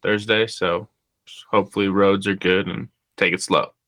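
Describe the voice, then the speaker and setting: A young man chatting on a podcast, relaxed and laid-back with short answers. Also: sits low, normal speed, no room sound. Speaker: podcast co-host, student. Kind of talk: casual chat.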